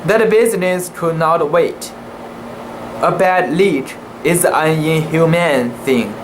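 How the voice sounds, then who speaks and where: An amateur reader giving a dramatic reading of a classic sea story, in a halting, deliberate way with occasional stumbles over words. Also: medium pitch. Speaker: amateur reader making videos. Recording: noisy room with steady background hum.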